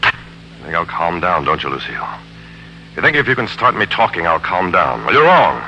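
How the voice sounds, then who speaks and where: An American actor playing a smooth, sardonic, tormented businessman and father in a 1940s radio drama. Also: low-pitched, big pitch swings, moderate pace, bright, forceful tone, full character voice. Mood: furious. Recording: humming, noisy 1940s radio recording.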